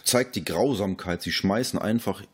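A man's voice: on the low side, moderate pace, slightly rough. A man podcast host talking in a casual film discussion.

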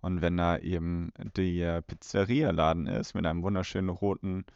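Male podcast co-host, deep creaky voice, wry asides, slightly muffled recording. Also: little pitch movement, normal speed, darkish tone, no echo. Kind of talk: casual podcast chat. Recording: slightly dull, lo-fi sound.

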